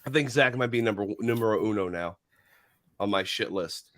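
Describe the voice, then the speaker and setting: Man with a head cold, excitable and swearing. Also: fairly low pitch, brisk. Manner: excitable, swears, trips over words. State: head cold, losing his voice. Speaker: podcast co-host. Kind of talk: casual livestream chat.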